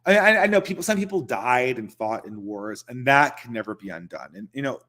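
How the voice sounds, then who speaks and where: A man's voice: mid-range voice, lively intonation, fairly quick, pushed and bright. A man hosting a casual livestream, answering viewer questions in loose, conversational talk and chuckling between points.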